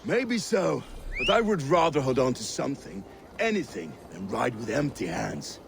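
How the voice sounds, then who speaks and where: An actor playing an earnest, hopeful warrior comrade in a game, with a wry, expressive manner. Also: male voice, mid-range voice, moderate pace, gravelly, noisy background.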